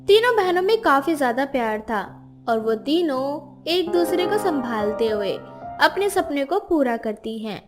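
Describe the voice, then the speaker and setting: A woman's voice: high-pitched, sing-song, a little slow. A woman storyteller reading scripted narration of a moral tale. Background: clear background music.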